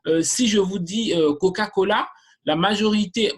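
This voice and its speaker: A marketing trainer giving a live online class, explaining basics step by step to viewers, often restarting sentences and pausing on filler sounds. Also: medium pitch, breathy edge. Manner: addresses viewers directly and explains points step by step.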